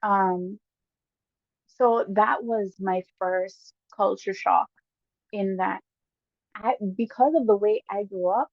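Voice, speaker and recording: A woman with a Guyanese accent, a guest casually telling stories with lively bursts and frequent laughs. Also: medium pitch, no room sound. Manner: lively, laughs often, quick bursts when excited. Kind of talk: casual storytelling chat. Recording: dull lo-fi dry sound.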